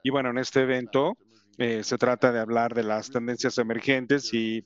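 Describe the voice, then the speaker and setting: A man with an English accent and English as his first language, giving a conference presentation in a halting way, with many fillers and restarts. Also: medium pitch, flat delivery, clear, resonant tone, highs cut off. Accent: English speaker's Spanish. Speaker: company representative presenting to educators.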